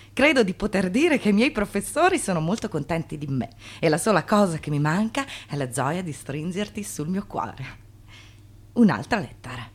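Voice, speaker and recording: An actress playing a knowing, ironic narrator, declaiming with deliberate emphasis in a theatrical radio drama. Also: female voice, mid-range voice. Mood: declaiming, confessional.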